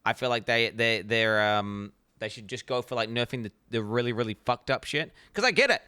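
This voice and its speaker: NZ-accented male streamer, profane and rambling, crisp clear tone. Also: mid-range voice, brisk, pushed and bright, no echo. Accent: New Zealand. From New Zealand. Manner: blunt, profane, rambling with many restarts. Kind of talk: casual reaction stream commentary.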